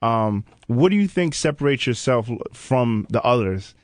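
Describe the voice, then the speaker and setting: Male podcast host, medium pitch, informal and affirming, casual interviewing, dry recording. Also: expressive, moderate pace, no echo. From Haiti. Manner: affirming, informal, repeats guest's words.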